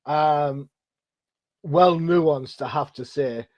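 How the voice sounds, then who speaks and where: A man's voice: a little high, extremely slow, a little mellow, dry recording. Chatty middle-aged British man hosting. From Britain. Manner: chatty, self-deprecating, rambling questions. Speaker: podcast host. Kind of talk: casual interview chat.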